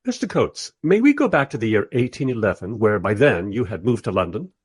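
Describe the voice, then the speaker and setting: A man playing a wry, politely exasperated film director who narrates a radio play in conversational asides with a husky voice. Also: on the low side, big pitch swings, fairly quick, no echo, studio quality.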